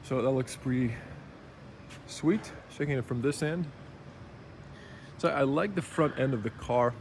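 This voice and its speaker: Slightly rough-voiced man reviewing a car. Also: mid-range voice, a little slow, a little mellow, a little hiss or hum. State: early-morning roughness in the voice. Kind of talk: casual unscripted walkaround commentary. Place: car show exhibition space.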